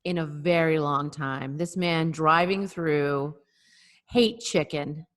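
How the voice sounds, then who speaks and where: A woman's voice: sits low, slow pace. A woman in her forties co-hosting a casual video-call chat, making wry, sarcastic remarks. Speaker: co-host.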